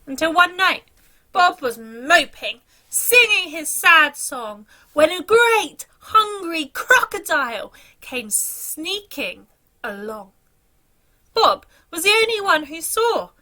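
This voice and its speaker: A woman's voice: very high-pitched, big pitch swings, slow, pushed and bright. A woman reading a children's story aloud in a dramatic, theatrical storytelling manner.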